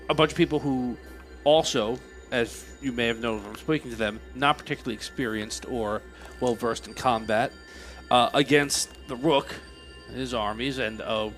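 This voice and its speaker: A man playing his character in a tabletop game, explaining himself earnestly and deliberately. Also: medium pitch, a bit bright, faint music behind, poor audio. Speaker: player.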